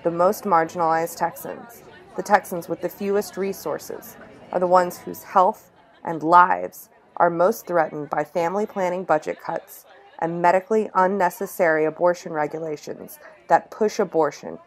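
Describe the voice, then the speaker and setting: A woman reporter reading a scripted documentary narration in a measured, even way. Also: fairly low pitch.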